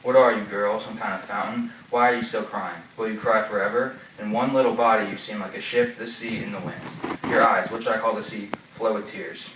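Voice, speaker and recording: A man's voice: lowish voice, steady tone, fairly quick, fairly bright tone, slight background noise, close to an everyday voice. An actor playing a domineering older nobleman father who scolds and rants at his daughter. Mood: mocking. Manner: scolding, ranting. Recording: echoey room from far off, faint ambience.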